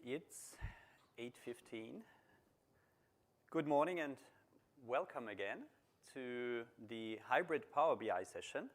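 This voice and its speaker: A middle-aged man with an Austrian German accent presents at a conference, his first language German. His voice sits at an ordinary middle pitch, and he explains in a plain, steady way, repeating phrases and restarting now and then. He speaks on a mic in a large conference room. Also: slow, long pauses. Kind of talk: technical conference talk.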